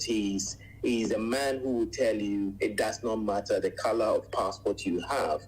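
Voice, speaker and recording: Ghanaian-born man, 40s, low husky voice, unhurried radio guest who pauses a lot. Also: darkish tone, poor audio. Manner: measured, frequent "uh" pauses, lists things at length. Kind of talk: radio interview, recounting life story.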